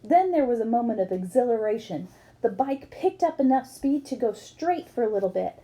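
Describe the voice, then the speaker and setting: A woman's voice: a little high, animated, normal speed, dark tone, poor audio. A woman reading a book aloud expressively, as for children, acting up the dialogue. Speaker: book reader.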